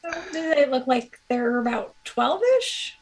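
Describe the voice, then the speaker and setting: A woman's voice: sits high, big pitch swings, a little slow. A woman chatting casually as a player in a tabletop game, speaking in a tentative, conversational way.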